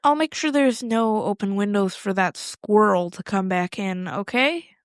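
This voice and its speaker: A woman's voice: medium pitch, a little slow, dry recording, clean studio sound, pitched above the performer's own voice, natural voice. A woman voicing a chatty, eager and nervous young girl adventurer in an audio storybook, rambling and wide-eyed. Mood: resigned, caring.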